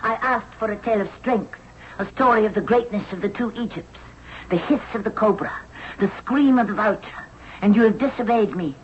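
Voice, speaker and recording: A woman's voice: medium pitch, fairly quick, lightly acted. An actress playing a proud, commanding young queen of ancient Egypt in a 1970s radio drama. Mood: angry, imperious. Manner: haughty, commanding. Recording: dull, noisy old radio broadcast recording.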